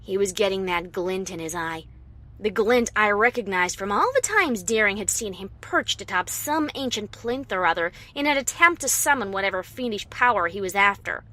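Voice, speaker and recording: Casting: actress as defiant pony adventurer telling her own tale, animated mid-range voice, wry and dramatic. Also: mid-range voice, normal speed. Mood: tense storytelling. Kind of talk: first-person story narration with acted dialogue.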